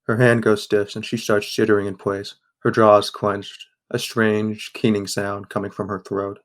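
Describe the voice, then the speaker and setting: A man narrating a first-person audio drama in a measured, understated way. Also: lowish voice, flat delivery.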